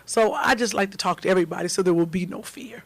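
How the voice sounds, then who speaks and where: A middle-aged American woman with a deep voice, a comedian guest on a talk show who jokes loudly and breaks into animated outbursts. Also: fast talker, no room sound. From United States. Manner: loud, joking, animated with outbursts. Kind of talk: casual talk show chat.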